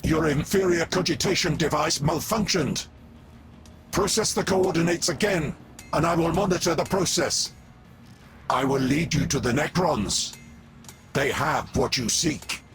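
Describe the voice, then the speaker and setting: An actor playing a cold, haughty ancient alien robot overlord in a video game, speaking formally and with menace. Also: male voice, fairly high pitch, measured pace, fairly bright tone, soft background music. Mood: cold, commanding. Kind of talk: video game character acting.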